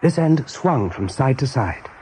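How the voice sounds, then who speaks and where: A man narrating an animal adventure as a calm storyteller in a radio play. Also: mid-range voice, measured pace, slight background noise. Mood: measured.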